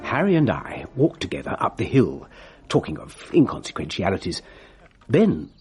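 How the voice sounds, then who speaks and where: An actor playing a genteel, fussy old bachelor gentleman in a radio drama, telling his story precisely with theatrical pauses. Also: lowish voice, huge pitch swings, over music. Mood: storytelling.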